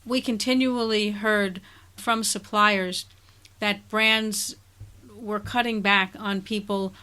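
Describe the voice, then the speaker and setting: Woman host, bright clear voice, pretty flat even delivery, measured and thoughtful. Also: medium pitch. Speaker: podcast host. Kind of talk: conversational interview.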